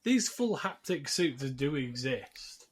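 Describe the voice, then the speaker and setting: A man's voice: mid-range voice, very animated, slow pace, breathy edge. A man hosting a podcast, casually recapping a film in a jokey, conversational way. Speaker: podcast host.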